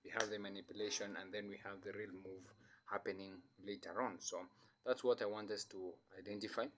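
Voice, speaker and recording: A man's voice: lowish voice, fairly even intonation, fairly quick, fairly bright tone, slight background noise. A man with a flu talking through trading charts in a casual, hesitant way, with many fillers and restarts. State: has a flu. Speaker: trading analyst. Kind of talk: casual screen-share chart analysis.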